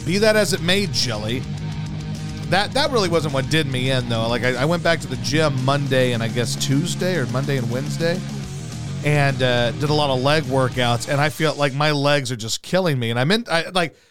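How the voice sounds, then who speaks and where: A hoarse man hosting a casual talk show, rambling in a loose, profane way and doing live ad reads. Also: mid-range voice, normal speed, music in the background. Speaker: radio host. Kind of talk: casual podcast chat and live ad read.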